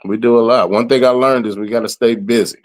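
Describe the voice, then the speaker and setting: A raspy-voiced man in his thirties from the southern United States, a rapper and event planner, talking in a relaxed, rambling way with lots of "you know". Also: mid-range voice, quick pace. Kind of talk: casual interview.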